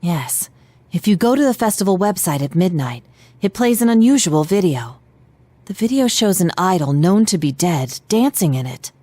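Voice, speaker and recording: Formal young detective, lively intonation. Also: female voice, on the low side, moderate pace, no room sound. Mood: thoughtful, serious. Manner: polite, precise, formal diction. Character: composed, formal teenage detective, analytical and earnest. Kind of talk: video game voice acting.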